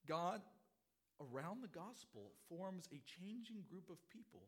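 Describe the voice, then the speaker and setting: A middle-aged pastor preaching a sermon, his voice hoarse from a cold, earnest and expository, often repeating phrases. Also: sits high, very animated, unhurried.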